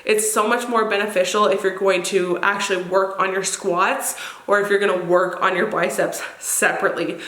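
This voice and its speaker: A woman with a mid-pitched voice that sounds crackly and stuffed up, clearly nasal from congestion. She talks informally as a fitness vlogger, sharing advice and stressing points by repeating words. The room adds a noticeable echo around her. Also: fairly bright tone. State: congested, recovering from illness. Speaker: fitness vlogger and channel host. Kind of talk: casual advice talk to camera. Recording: roomy echo on the voice.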